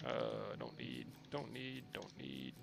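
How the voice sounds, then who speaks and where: A man's voice: fairly low pitch, very animated, slow pace, over music. A man giving casual commentary on his own video game playthrough, often muttering asides to himself. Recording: clearly noisy background.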